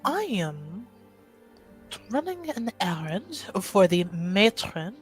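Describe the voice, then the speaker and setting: Woman doing a snooty noble house envoy in a tabletop game, slow n poised, voice swings around, music behind. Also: mid-range voice, very animated. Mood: haughty, cool. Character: haughty, exacting noblewoman's envoy shopping for perfume.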